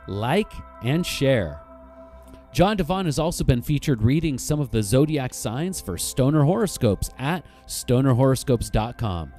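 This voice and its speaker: A man's voice: mid-range voice, darkish tone. A man hosting a podcast, delivering a casual promotional announcement with guitar music behind him. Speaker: podcast host.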